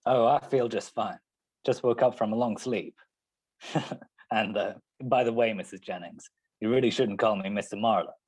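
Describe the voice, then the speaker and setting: A man's voice: lowish voice, normal speed, darkish tone, lightly acted. A man playing a hard-boiled private detective in a noir radio play, sardonic and world-weary. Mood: dry, needling. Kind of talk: 1940s noir radio narration and dialogue.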